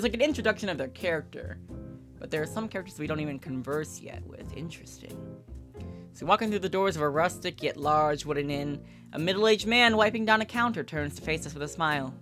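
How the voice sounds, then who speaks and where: A woman's voice: sits low, lively intonation, quick pace, fairly bright tone, soft background music. A woman livestreamer reading a visual novel aloud and commenting casually.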